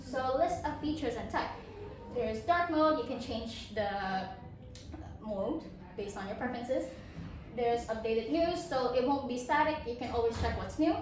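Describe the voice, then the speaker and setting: A woman's voice: a little high, unhurried, darkish tone, a little hiss or hum. A teenage girl from Indonesia presenting her portfolio as a student, in a chatty, upbeat way with fillers and restarts. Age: teens. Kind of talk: casual self-presentation. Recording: very echoey room, from far off, crowd behind.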